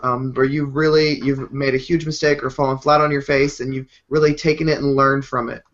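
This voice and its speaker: A man's voice: mid-range voice, flat delivery, brisk, fairly bright tone. A man hosting a podcast, asking friendly, rambling questions in a casual interview. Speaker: podcast host. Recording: dull lo-fi sound.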